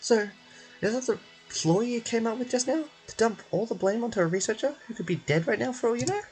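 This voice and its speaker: A man's voice: very high-pitched, a little fast, a little mellow, faint music behind, pitched above the performer's own voice, rough recording. A man voicing a distrustful young female journalist in a fantasy game, accusing and insistent. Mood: shocked, accusing.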